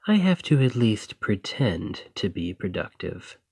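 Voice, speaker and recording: A man playing a devoted, gentle boyfriend in a romantic ASMR roleplay, soft and husky, with a tender, reassuring manner. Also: fairly low pitch, very animated, a little slow, no room sound, exaggerated voice. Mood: light, affectionate.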